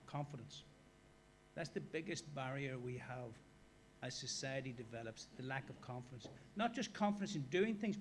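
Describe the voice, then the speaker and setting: An Irish man with a somewhat high voice lectures a workshop in a conversational way, turning questions to his listeners. A faint hum sits under the recording. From Ireland. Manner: conversational, asks the audience questions. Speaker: guest lecturer. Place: lecture hall.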